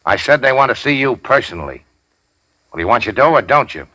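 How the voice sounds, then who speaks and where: An actor playing a tough, cynical racketeer boss in a 1940s radio crime drama, with clipped, slangy, sardonic delivery. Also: male voice, mid-range voice, big pitch swings, fairly quick, pushed and bright, dry recording, dull audio. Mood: dry, cool. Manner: hard-boiled, slangy, clipped. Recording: dry 1940s radio recording.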